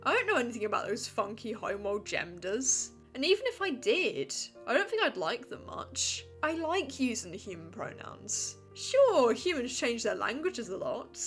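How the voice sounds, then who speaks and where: A woman's voice: on the high side, sing-song, moderate pace, hardly any pauses, a bit bright, soft background music. A woman voicing a brash, laid-back, teasing female alien gem in a cartoon comic dub, speaking casually. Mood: defiant. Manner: casual, slangy.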